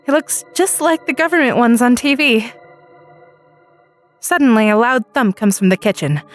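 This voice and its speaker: Higher-pitched woman narrating a dramatic story.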